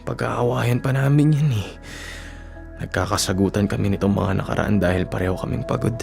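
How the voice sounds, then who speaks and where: Radio drama son: young Filipino, earnest and defensive, mid-pitched with some fry, clear music bed behind. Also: male voice, brisk, darkish tone. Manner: earnest, protesting. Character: young working man, loyal, defensive boyfriend and son.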